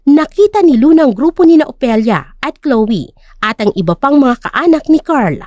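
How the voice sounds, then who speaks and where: A woman narrates a scripted audio drama in a high voice. The sound is very dry and close, with no room around it. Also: very animated, moderate pace, a little mellow, dry recording, highs cut off.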